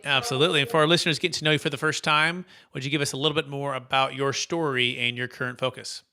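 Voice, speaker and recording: Brisk bright-voiced male podcast host. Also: medium pitch, fairly even intonation, slight background noise. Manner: upbeat, quick, polished. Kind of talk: scripted intro then interview questions.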